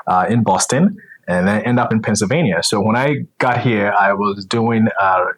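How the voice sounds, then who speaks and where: A man's voice: lowish voice, moderate pace, slight background noise, poor audio. A middle-aged male physician from Ghana, telling his career story in an easygoing, conversational way with plenty of filler sounds. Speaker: physician guest. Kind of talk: conversational interview.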